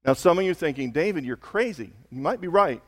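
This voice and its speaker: A man preaching a sermon as a pastor, hoarse, talking conversationally and building to slow, emphatic peaks. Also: on the high side, expressive, big-room sound. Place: church sanctuary.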